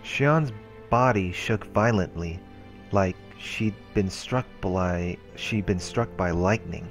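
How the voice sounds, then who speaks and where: A let's play host reads a story's narration aloud in a steady way. He drops casual comments between passages. His voice is very low, and faint game music plays behind him. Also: slow. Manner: steady reading with casual asides.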